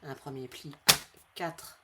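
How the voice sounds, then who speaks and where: A woman with a slight head cold hosting a craft tutorial in a friendly, chatty way, repeating each measurement slowly for her viewers. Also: rumbling low, steady tone, heavy background noise. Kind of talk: casual step-by-step craft tutorial.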